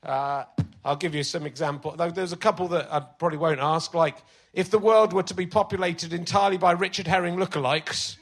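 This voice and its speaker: A male comedian hosting a live podcast on a theatre stage, chatty and self-mocking, his voice slightly croaky from illness. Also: a little high, fairly even intonation, brisk. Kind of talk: live stand-up banter on stage.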